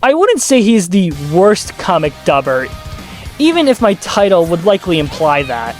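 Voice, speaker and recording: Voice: medium pitch, huge pitch swings, normal speed, soft background music. A commentator giving casual, scripted video commentary with dry, sardonic asides. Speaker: host of a video commentary channel.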